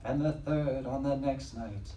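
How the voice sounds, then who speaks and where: A man's voice: medium pitch, a little slow, mellow, natural voice. Echoing across a live stage with faint noise, a man acts out a weary ghost condemned to wander. He declaims solemnly and theatrically, at some distance from the mic. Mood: mournful, warning. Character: weary, condemned ghost of a young male patient. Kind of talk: live audio drama acting.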